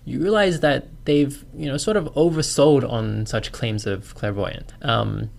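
A man's voice: medium pitch, measured pace, gravelly, a little mellow. An Australian-accented man in his thirties, a university lecturer guesting on a podcast. He talks in an easy, chatty way and often jokes about himself. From China. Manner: chatty, self-deprecating, laughs easily. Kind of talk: casual podcast interview.

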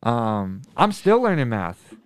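A man in his twenties from Denver, a middle school math teacher, answering students' questions in a thoughtful, earnest way, pausing often with ums and restarting sentences. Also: medium pitch, big pitch swings, smooth. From Denver, USA. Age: 20s. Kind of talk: casual interview answers to students.

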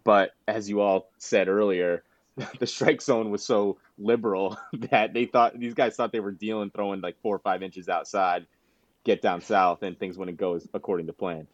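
A man's voice: on the low side, fairly even intonation, normal speed, no room sound, lo-fi sound. A man co-hosting a podcast, telling rambling anecdotes broken by laughter in a casual chat. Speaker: podcast co-host.

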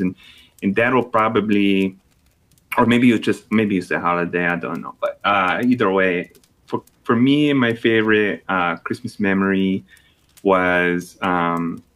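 Man voicing a female actress, Colombian accent, vain, tipsy, blunt, rambling, lowish voice. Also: fairly bright tone. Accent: put-on Colombian Spanish accent. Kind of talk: improvised comedy character.

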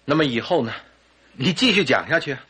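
An actor playing Dr. Watson, a skeptical, impatient companion who challenges and questions, in old-fashioned radio drama style. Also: male voice, medium pitch, animated, fairly quick, bright, forceful tone, breathy edge, heavily acted.

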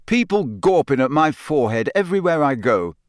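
A man's voice: fairly high pitch, sing-song, fairly quick, dry recording, studio quality, voice pushed up. A man voicing a frustrated teenage schoolboy who sounds bitter and defensive.

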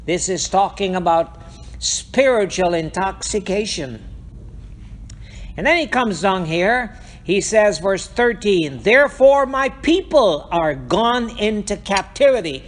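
Preacher from Guyana, man, high voice, unhurried rhetorical sermon delivery. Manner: repetitive, rhetorical questioning.

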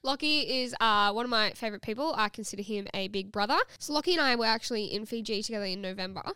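A woman's voice: medium pitch, quick pace, bright, forceful tone, clean tone, no echo. A young woman hosting a podcast, chatting playfully and reading out a listener's letter. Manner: bubbly, giggly, teasing. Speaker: podcast host.

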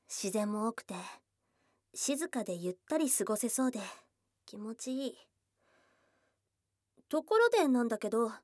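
A woman voicing a shy, affectionate young goblin girl in a Japanese ASMR voice drama, with casual tomboyish speech and a soft, hesitant delivery. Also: a little high, no room sound, studio quality. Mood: flustered. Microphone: close studio mic, dry.

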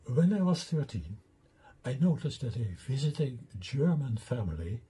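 An older man with a Dutch accent, a native Dutch speaker, giving a deliberate slide lecture and explaining his own theory step by step. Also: medium pitch, sing-song, dark tone, slightly rough.

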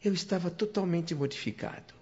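A man's voice: sits high, fairly quick, warm tone, close to an everyday voice. A Brazilian actor playing a grateful, devout spirit who narrates his return home in a solemn, emotive radio-drama style. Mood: reverent wonder. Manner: solemn, reverent, emotive, ornate phrasing. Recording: dull lo-fi radio recording with music. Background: music.

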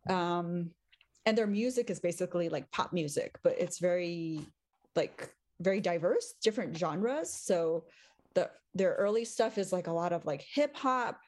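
A woman in her forties, an English professor and podcast guest, chatting casually and thoughtfully, with many pauses and frequent laughs. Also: lowish voice, lively intonation, darkish tone. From Taiwan, raised in the US. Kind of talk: casual conversation.

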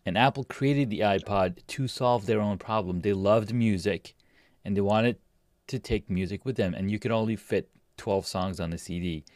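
A man in his forties from Pakistan, a podcast guest, telling his story in a relaxed way with many fillers and restarts. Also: fairly low pitch, normal speed, no echo, clean studio sound. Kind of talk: casual interview talk.

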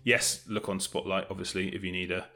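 A man's voice: low voice, little pitch movement, fairly quick, creaky, bright, forceful tone. A man talking casually as an interview guest, rambling a little as he thinks aloud. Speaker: interview guest, audio drama producer.

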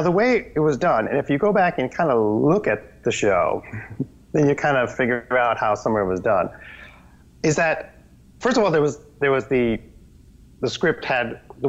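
Animated, rambling man telling stories. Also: medium pitch, normal speed, muffled recording. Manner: animated, rambling, with many restarts. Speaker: guest, children's TV performer. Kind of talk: casual interview storytelling.

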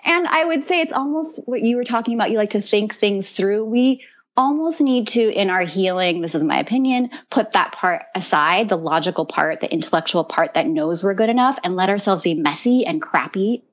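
A woman guest in a casual podcast conversation, earnest and animated, who often repeats words as she talks. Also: medium pitch, a little fast, dull audio. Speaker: guest, mentor to creative women.

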